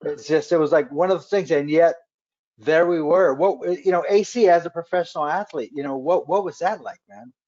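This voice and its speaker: A man hosting a webcast interview in casual chat, halting with many fillers and restarts. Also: a little high, darkish tone. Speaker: webcast host. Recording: dull lo-fi webcast audio.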